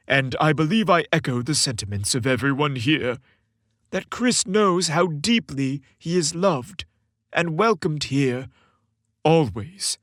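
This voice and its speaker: Man putting on a booming old Santa Claus. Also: fairly bright tone, no echo, studio quality. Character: jolly, commanding old Santa Claus, warmly fatherly. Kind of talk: storybook character voice.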